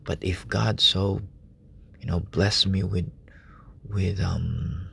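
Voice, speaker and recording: A man preaching softly at night in a relative's room, speaking in a hushed, halting way with many fillers. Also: low-pitched, little pitch movement, creaky, a little hiss or hum. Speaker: lay preacher. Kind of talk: informal late-night sermon.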